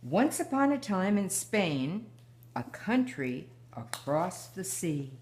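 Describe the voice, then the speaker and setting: A woman's voice: lowish voice, sing-song, slow pace, darkish tone. An older woman reading a children's picture book aloud as a guest storyteller.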